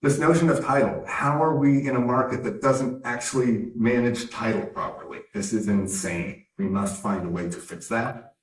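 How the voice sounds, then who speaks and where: A man's voice: mid-range voice, steady tone, moderate pace, recorded from a distance. Give me a Canadian-born man with an American accent giving an emphatic speech in a roomy hall. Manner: emphatic, punchy, with wry asides. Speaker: conference speaker, company founder. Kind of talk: conference keynote speech. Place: conference hall.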